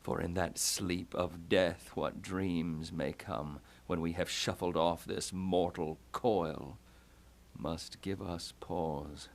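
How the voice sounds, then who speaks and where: A man playing a tormented, scornful young prince in classical Shakespearean style, with theatrical, deliberate delivery. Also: sits low, fairly even intonation, creaky, exaggerated voice. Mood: brooding, hushed. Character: brooding, tormented young prince who turns scornful and furious. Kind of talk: classical Shakespearean stage acting.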